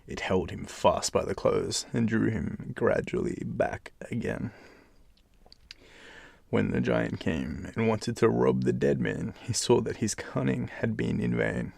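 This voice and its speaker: Male Australian bedtime storyteller, heavy vocal fry, expressive, recorded close and dry. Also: lowish voice, a little slow, no room sound. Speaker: storyteller, channel host. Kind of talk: expressive bedtime fairytale narration with casual asides.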